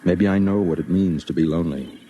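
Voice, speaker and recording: A man's voice: deep voice, brisk, mellow, highs cut off, slight background noise, natural voice. A man acting an earnest, lonely reporter in an old-time radio drama, with a solemn, literary delivery. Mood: tender. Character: earnest lonely male reporter narrating and pleading. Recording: vintage radio drama with music behind.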